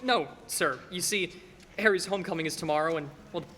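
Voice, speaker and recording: A man's voice: a little high, a little fast, pushed and bright. A young man playing a stammering, easily agitated family man in a radio play, hesitant and on edge. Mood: apologetic, nervous. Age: young adult. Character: frantic, stammering family man at breaking point. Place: stage in a school theater. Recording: reverberant hall with background noise.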